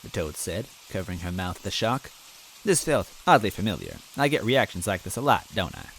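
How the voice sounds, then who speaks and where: A man with a fairly low voice narrating a fan story in first person. He reads in an earnest, timid, self-deprecating way, with faint rain sounding in the background. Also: huge pitch swings, brisk, fairly bright tone, a little hiss or hum, rough recording. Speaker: story reader.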